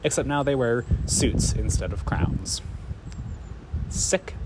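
Animated, bitterly sardonic man ranting. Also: mid-range voice, slow pace, heavy background noise. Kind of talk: impassioned political monologue.

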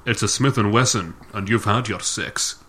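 A man's voice: lowish voice, moderate pace, bright, forceful tone. A man co-hosting a casual film review chat, recapping the plot with sarcastic jokes, swearing and frequent laughter. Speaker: podcast co-host.

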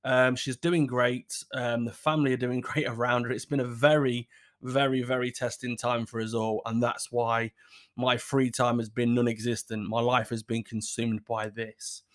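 A man's voice: mid-range voice, level tone, normal speed. Male podcast host, scratchy sore throat, rambling casual chat, apologetic.

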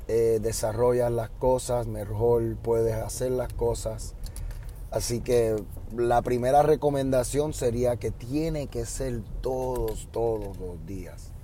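A man with a Puerto Rican Spanish accent, a high school Spanish teacher giving casual advice on his podcast, often tagging on "¿verdad?" and pausing with "eh". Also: a little hiss or hum. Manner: relaxed, fills with "¿verdad?" and "eh".

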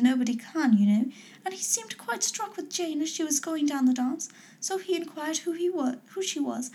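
A woman's voice: high-pitched, dark tone, pitched above the performer's own voice, natural voice. A woman doing a gushing, fluttery mother. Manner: breathless, fluttery gossip. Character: gushing, excitable middle-aged mother, chattering.

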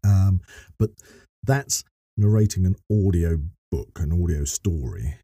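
A man's voice: sits low, animated, a little slow, dark tone, slightly rough, dry recording, studio quality. A middle-aged man speaking earnestly as a podcast guest, explaining his work as a voice actor and narrator in wordy, often rephrased sentences.

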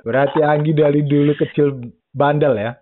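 A man's voice: on the high side, steady tone, normal speed. A man hosting a podcast in casual chat, listening closely and dropping in frequent short affirmations. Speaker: podcast host. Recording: tinny phone line.

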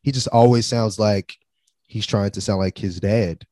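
A man co-hosting a casual wrestling chat, opinionated and animated, dropping slang as he rants. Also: on the low side, unhurried, dry recording, studio quality. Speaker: wrestling podcast co-host.

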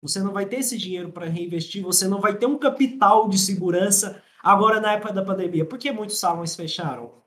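Man, mild Mineiro accent, high-pitched, storytelling, repeats points, casual podcast talk. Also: fast, few pauses, a bit bright. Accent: mild Mineiro Brazilian Portuguese. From Minas Gerais, Brazil. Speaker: podcast guest, salon business expert. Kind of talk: casual podcast conversation, explaining.

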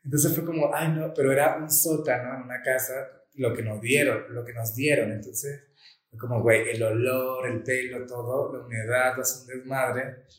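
A man's voice: mid-range voice, normal speed. A man from Colombia raised in Venezuela, with a Venezuelan accent, chatting casually as a podcast guest and acting out the people in his stories. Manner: animated storyteller, acts out quoted dialogue. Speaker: smoke shop co-owner guest. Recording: echoey room.

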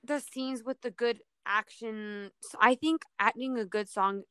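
A woman with a very bright, crisp voice talks casually as a podcast panelist. She sounds informal and enthusiastic, at a middle pitch, recorded close and dry. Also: unhurried, no room sound. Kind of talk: casual chat about a TV show.

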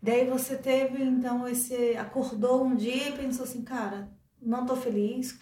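A woman's voice: on the high side, steady tone, unhurried, dark tone, slight background noise. A woman with a Brazilian Portuguese accent hosting a podcast, introducing her guest with enthusiasm and chatting casually. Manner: enthusiastic, upbeat. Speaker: podcast host. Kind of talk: podcast interview, scripted intro. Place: São Paulo.

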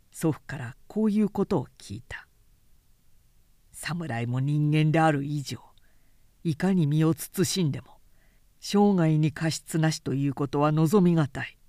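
A woman voicing a calm, principled male samurai in an audiobook, speaking quietly in a low, grave, formal and measured way. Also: dry recording, clean studio sound. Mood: solemn, measured. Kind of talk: literary audiobook character reading.

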